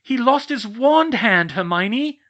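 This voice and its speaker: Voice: medium pitch, big pitch swings, normal speed, a bit bright, highs cut off, voice pushed up. A narrator voicing a shy, upset older schoolgirl witch who talks in a hesitant, stammering way.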